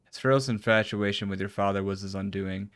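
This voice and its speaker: A man's voice: lowish voice, steady tone, a little fast, dry recording. A man streaming a video game, reading its formal cutscene dialogue aloud with some stumbles and casual reactions.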